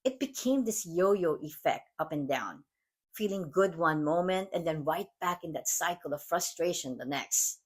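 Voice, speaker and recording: Woman, Filipino accent, podcast host, husky, lowish voice, earnest measured monologue. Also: lively intonation. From Philippines. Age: adult mom. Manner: earnest, measured, reflective delivery. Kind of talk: scripted motivational monologue.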